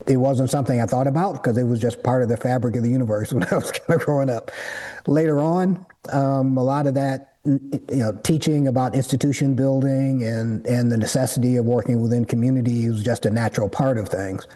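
A man from Detroit answers interview questions in a mellow, relaxed voice. He explains things earnestly and at length, like someone laying out a plan. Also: mid-range voice. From Detroit, USA. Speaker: community organizer guest.

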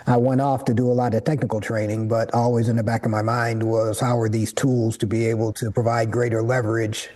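A man from Detroit answers interview questions in a mellow, relaxed voice. He explains things earnestly and at length, like someone laying out a plan. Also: mid-range voice, brisk, hardly any pauses. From Detroit, USA. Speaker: community organizer guest.

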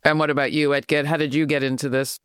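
Smooth-voiced woman hosting an interview. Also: low-pitched, little pitch movement, quick pace, no echo, clean studio sound. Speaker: interview host. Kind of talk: conversational interviewing.

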